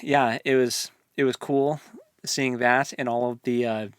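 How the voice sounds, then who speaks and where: A man's voice: mid-range voice, flat delivery, measured pace, clean tone, no room sound. Man chatting about books, close dry sound. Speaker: co-host of a book read-along podcast. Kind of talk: casual book discussion.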